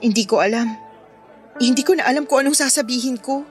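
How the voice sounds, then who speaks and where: An actress plays a lovesick Filipino bride-to-be in a radio drama. Her high voice is hesitant and plaintive, stubborn beneath the hurt. Music runs underneath. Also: fast, lightly acted. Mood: torn, pleading. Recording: music playing behind the dialogue.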